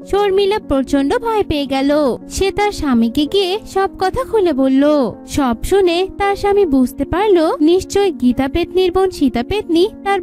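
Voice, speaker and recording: A woman's voice: extremely high pitch, darkish tone. A woman narrating a scripted cartoon folk tale in a storytelling style. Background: music.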